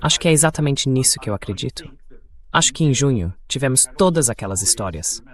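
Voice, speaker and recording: A medium-pitched male AI dubbing voice lays out political analysis. It speaks as a commentator in a discussion. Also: animated, fast. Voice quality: synthetic AI dubbing voice.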